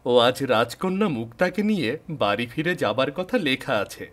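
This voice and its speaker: A man voicing an affectionate young fairy-tale prince in a cartoon, brisk and eager. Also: medium pitch, very animated, a bit bright. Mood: eager, happy.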